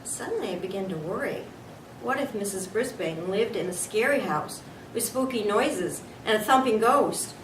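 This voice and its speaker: An excitable, nervous little storybook hamster, read by a woman, chatting in a wide-eyed, confiding way. The recording is echoey and distant, with clear background noise. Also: medium pitch, moderate pace, natural voice. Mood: anxious. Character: small excitable classroom pet hamster, male, chatty and nervous.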